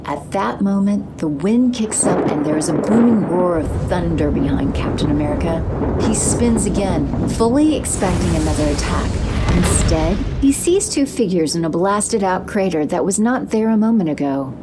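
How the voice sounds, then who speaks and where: Lowish-voiced woman narrating, background noise. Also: animated, normal speed. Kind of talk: scripted audio drama narration. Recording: clear background noise, sound effects.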